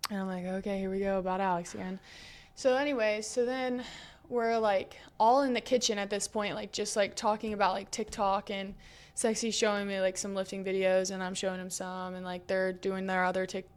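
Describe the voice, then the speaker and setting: A woman's voice: mid-range voice, clean tone. A woman in her twenties co-hosting a podcast, telling a rambling story full of fillers and swearing while acting out what others said. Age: 20s. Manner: rambling, filler-heavy, profane, acts out others' lines. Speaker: podcast co-host. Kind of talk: casual storytelling chat.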